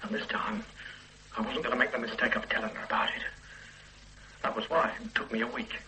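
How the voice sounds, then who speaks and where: A weary ordinary bloke. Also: male voice, medium pitch, very animated, a little hiss or hum, rough recording. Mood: weary, halting. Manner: halting, hesitant confession with quiet weary asides. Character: ordinary middle-aged man, weary, evasive, regretful. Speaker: murder suspect under questioning. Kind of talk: 1970s-80s radio play acting.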